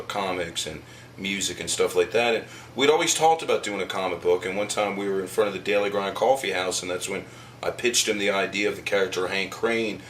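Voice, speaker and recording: A man with a deep voice gives interview answers for a documentary. His delivery is halting yet emphatic, and faint noise sits behind him. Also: fairly bright tone. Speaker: comic book artist.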